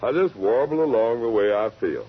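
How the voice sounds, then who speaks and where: Folksy singing cowboy, unhurried and expressive, dulled vintage radio recording. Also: male voice, medium pitch, breathy edge. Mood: modest, genial. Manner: folksy, laid-back. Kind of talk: 1940s radio drama acting. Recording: muffled 1940s radio recording.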